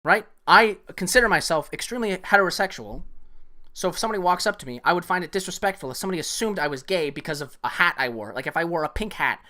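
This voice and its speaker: Man, bright tone, heated live-stream rant, swearing. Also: high-pitched, lively intonation, quick pace. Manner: heated, argumentative, swears a lot. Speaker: live streamer arguing with a viewer.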